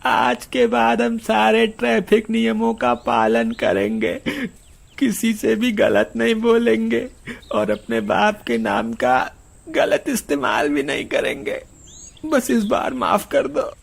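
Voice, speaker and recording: A man playing a brash, whiny young troublemaker in an animated moral story, with a creaky, exaggerated delivery. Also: high-pitched, slow, exaggerated voice. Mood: terrified, begging.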